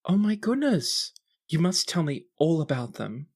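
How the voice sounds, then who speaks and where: A man's voice: on the high side, very animated, measured pace, pitched above the performer's own voice, exaggerated voice. Man playing a bubbly, courtly young princess. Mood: eager, warm. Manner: formal courtly phrasing, effusive. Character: bubbly, earnest young princess with a mischievous streak.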